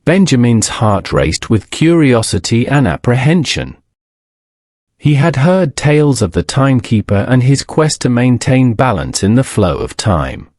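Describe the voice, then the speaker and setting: A man reading a scripted bedtime story as a narrator, in a measured, solemn storybook manner. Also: medium pitch, sing-song, a little mellow, no room sound, studio quality.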